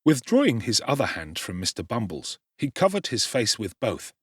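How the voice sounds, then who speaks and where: A man's voice: mid-range voice, normal speed, slightly rough, dry recording, studio quality. A man giving a theatrical, dramatic audiobook narration. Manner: expressive, theatrical.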